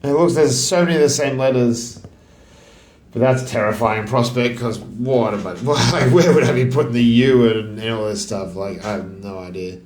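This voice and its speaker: A man thinks aloud in a casual, musing, self-questioning way as he solves puzzles, his voice sitting deeper than usual. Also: normal speed, darkish tone. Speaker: crossword solver and video host. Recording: echoey room.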